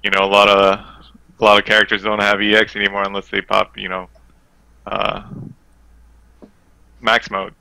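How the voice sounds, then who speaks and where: Bright, forceful low-voiced man with gravel. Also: monotone, moderate pace, pauses often, no room sound, low-quality recording. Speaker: podcast guest, fighting game player. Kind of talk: casual game analysis.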